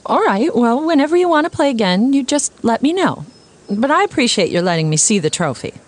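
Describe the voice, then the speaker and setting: A woman's voice: on the high side, very animated, a little fast. A woman voicing a spirited, sarcastic young rival in a cartoon comedy, sassy and matter-of-fact. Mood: confident, teasing. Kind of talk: animated comedy dialogue.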